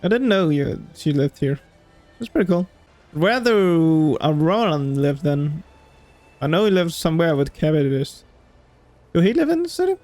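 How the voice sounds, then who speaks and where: Casual game streamer, lively pitch. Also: moderate pace, soft background music. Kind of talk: casual gameplay commentary.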